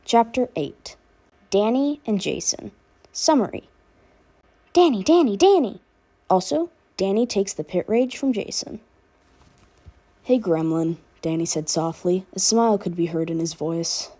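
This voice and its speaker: A woman's voice: mid-range voice, animated, moderate pace, mellow. A woman reading fan fiction aloud as an amateur narrator. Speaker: podfic reader and narrator.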